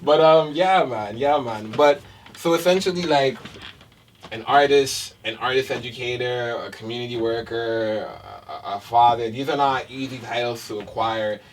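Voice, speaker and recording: A man's voice: mid-range voice, moderate pace, far from the mic, slight background noise. A man interviewing a guest in a casual, slangy, laid-back way, often laughing.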